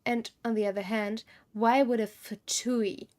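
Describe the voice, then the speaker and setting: A woman's voice: a little high, steady tone, a little slow, a little mellow. A woman game streamer giving casual live commentary, musing and thinking aloud.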